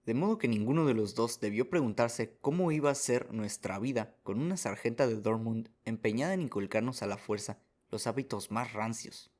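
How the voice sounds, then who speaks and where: A man's voice: mid-range voice, darkish tone. A man reading scripted first-person story narration as the narrator of a radio drama.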